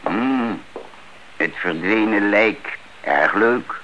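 A dull old radio drama recording with faint noise. a male family doctor with a low, bright, forceful voice. He is affable and drily humorous, humming and hawing as he goes. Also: drawn-out words, exaggerated voice. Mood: dryly amused. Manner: hemming, affable, dry.

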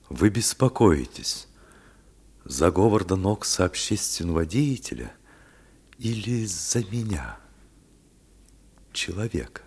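An actor in a radio play playing an earnest, impassioned politician torn by secret love, speaking haltingly. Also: male voice, sits low, gravelly, a little mellow, breathy edge, full character voice. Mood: guarded, hurt.

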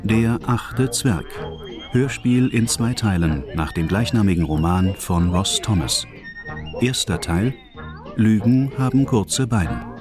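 A man announcing the title and cast of a radio drama in a measured, formal way. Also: fairly low pitch, lively intonation. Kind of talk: scripted radio drama credits. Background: music.

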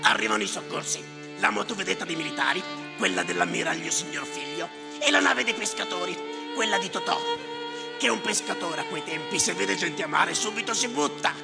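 An actor playing a bitter, cynical clerk who grumbles and declaims to himself in a raspy voice, in a theatrical monologue. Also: male voice, high-pitched, sing-song, brisk, exaggerated voice. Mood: mocking, declamatory. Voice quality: raspy, gravelly. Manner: muttering to himself, sardonic, declamatory, repeating words obsessively. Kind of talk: theatrical stage monologue with live music. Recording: reverberant hall, music behind voice. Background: music.

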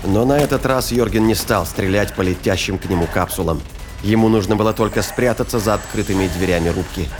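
A man's voice: on the low side, brisk. A man narrating a scripted action story as an audio drama narrator. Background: music and sound effects.

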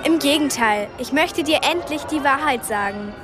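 A woman's voice: high-pitched, animated, normal speed, over music, a crowd in the background. A woman playing an earnest, determined thirteen-year-old girl in a radio play, speaking in an urgent, direct way. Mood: pleading.

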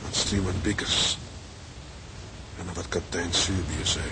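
A man's voice: sits low, steady tone, a little slow. An actor playing a brooding young seaman in a radio drama, terse and subdued. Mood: troubled, brooding. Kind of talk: Dutch radio drama acting. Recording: noisy radio drama recording.

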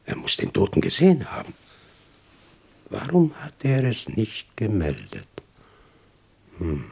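Bedächtiger, rauer kleiner Händler. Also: male voice, lowish voice, huge pitch swings, unhurried, darkish tone, full character voice. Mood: pondering, uneasy. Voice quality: husky. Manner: hesitant, ponderous, polite. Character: honest, brooding male toy shopkeeper, a troubled witness. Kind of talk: German radio crime drama acting.

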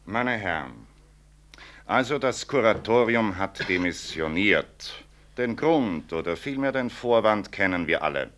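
An actor playing an earnest professor in a German radio play, arguing formally in a deliberate, insistent way. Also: male voice, on the low side, lively intonation, fairly bright tone, slight background noise. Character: earnest male professor defending a colleague before a board.

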